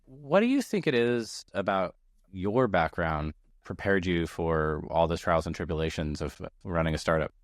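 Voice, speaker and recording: A man with a deep, low voice hosts a podcast and asks his questions in an easygoing, relaxed way. His voice sounds dry and close to the mic. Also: moderate pace, no room sound. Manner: relaxed, easygoing questions, frequent short agreements. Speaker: podcast host. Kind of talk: casual interview conversation.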